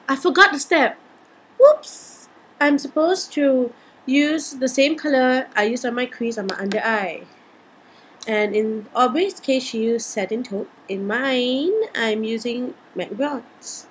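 A woman in her twenties with a Singaporean accent and a slightly husky voice, chatting playfully through a casual makeup tutorial. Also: on the high side, takes it slowly, fairly bright tone, slight background noise, poor audio. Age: 20s. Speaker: beauty vlogger. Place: indoors, small home room.

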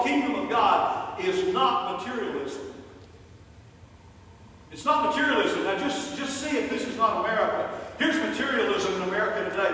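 An older man delivers an emphatic sermon in a rather high voice, circling back on phrases to drive them home. The sound is heavily echoed and distant, as if caught from across a church. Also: a bit bright. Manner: emphatic, repeats key phrases for weight. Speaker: pastor preaching. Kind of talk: sermon to a congregation. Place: church sanctuary, indoors. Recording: very echoey, distant hall recording with noise.

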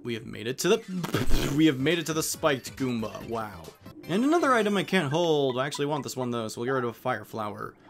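A man with a mid-pitched voice gives casual commentary as he plays a video game. He drops jokey, self-deprecating asides along the way, and faint game music plays behind him. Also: very animated, brisk, vocal fry. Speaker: let's play host.

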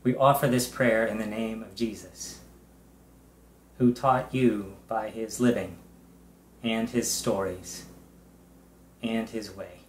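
A middle-aged man, a pastor, leading a prayer in a measured, reverent way, in short phrases with long pauses. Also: fairly low pitch, fairly even intonation, a little hiss or hum. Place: indoors, small room with bare wall. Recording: echoey room.

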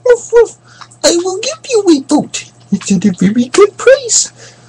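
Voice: huge pitch swings, unhurried, noisy background, heavily acted. A performer voicing a cheerful female dog who runs a boat shop, barking as she talks. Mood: chirpy. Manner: high squeaky voice, barks between lines.

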